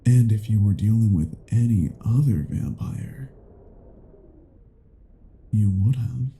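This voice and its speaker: A man playing a cruel, haughty vampire in a dramatic roleplay, speaking in a deliberate, menacing and condescending way. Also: on the low side, animated, warm tone. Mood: intrigued, probing. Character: cruel, haughty male vampire toying with his captive. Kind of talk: dramatic roleplay audio acting.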